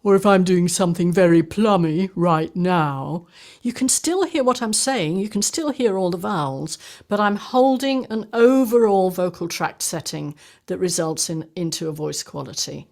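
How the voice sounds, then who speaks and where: A woman's voice: lowish voice, normal speed. Woman voice coach explaining. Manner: explanatory, lively teaching tone. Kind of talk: teaching conversation on singing technique. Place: home studio, indoors.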